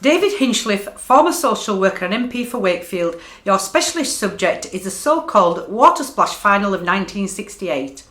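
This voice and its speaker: An actress playing a formal quiz show host in a radio play, announcing crisply. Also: female voice, medium pitch, big pitch swings, normal speed, few pauses, a bit bright. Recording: roomy, echoing sound.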